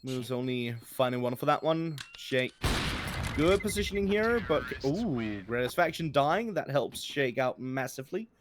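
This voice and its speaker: A man's voice: mid-range voice, lively intonation, a little slow, noisy background. A man giving color commentary on an esports match, analyzing plays and often repeating words as he restarts.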